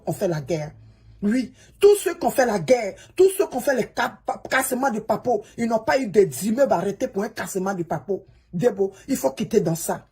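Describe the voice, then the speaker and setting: A woman's voice: fairly high pitch, dark tone, husky. A woman, a social media coach who is an English speaker, giving a pleading, preachy advice monologue and repeating the listener's name. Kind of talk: advice monologue to a fellow creator.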